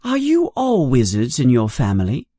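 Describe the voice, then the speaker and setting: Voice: mid-range voice, huge pitch swings, normal speed, warm tone, no echo, clean studio sound. A narrator voicing a polite, bashful eleven-year-old wizard boy in a storybook. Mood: unsure.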